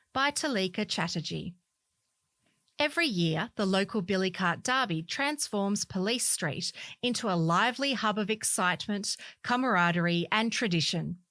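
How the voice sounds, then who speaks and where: A woman's voice: medium pitch, fairly bright tone, no echo. A woman reading community newspaper stories aloud as scripted narration. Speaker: volunteer newspaper reader.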